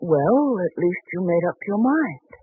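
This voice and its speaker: An actress playing a patient, earnest wife in a 1940s radio drama, speaking with gentle reproach. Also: female voice, on the low side, very animated, measured pace, husky, no room sound, exaggerated voice. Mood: calm, knowing. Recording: thin, muffled 1940s radio recording.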